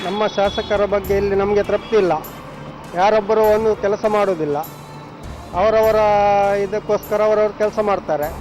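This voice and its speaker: A man's voice: sits high, fairly even intonation, moderate pace, long pauses, a little mellow, soft background music, a faint crowd, poor audio. A dissatisfied man with a Mangalore accent speaking in a street interview. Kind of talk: street vox pop.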